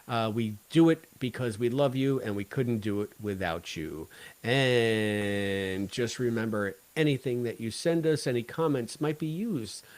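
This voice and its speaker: Male podcast co-host, average pitch, wry and joking in casual review chat. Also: measured pace, a little mellow. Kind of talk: casual review chat about an audio drama.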